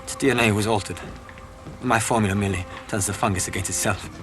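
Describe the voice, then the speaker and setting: A man's voice: on the low side, steady tone, over music, close to an everyday voice. Man acting as meek, hesitant male scientist. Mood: apologetic, explaining. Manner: hesitant, halting, apologetic, courteous. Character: meek, nervous, deferential male xenobiologist scientist. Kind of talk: video game cutscene acting.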